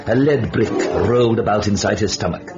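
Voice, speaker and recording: An actor playing a sardonic, ominous narrator of a suspense radio play, theatrical and taunting. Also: male voice, lowish voice, moderate pace, close to an everyday voice. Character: sardonic, ominous male storyteller host. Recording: dull old radio recording, loud music.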